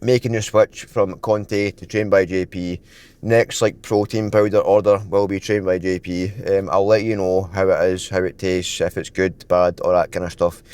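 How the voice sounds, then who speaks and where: A young man in his twenties talking casually to camera in a fitness vlog, rambling with lots of filler words, his throat a bit dry and croaky. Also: low voice, level tone, moderate pace, a little mellow. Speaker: fitness vlogger.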